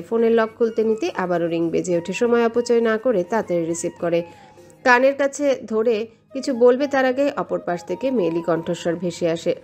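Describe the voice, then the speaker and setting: A woman with a mid-pitched voice narrates a romantic story from a script. Soft music plays behind her reading. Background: background music.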